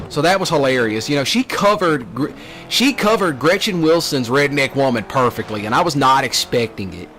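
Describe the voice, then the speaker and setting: A man's voice: fairly high pitch, a bit bright. A man, a folksy gaming channel host rambling casually in a teasing rant. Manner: folksy, teasing, mocks others in put-on quoted voices. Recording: clear background noise, car engine sounds. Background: vehicle sounds from a driving video game.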